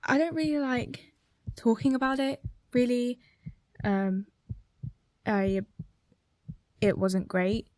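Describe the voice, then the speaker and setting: An actress playing a hurt, nervous young woman who speaks haltingly in a confessional radio drama monologue. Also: fairly high pitch, fairly even intonation, a little mellow, no room sound. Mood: hesitant, reluctant. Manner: hesitant, halting.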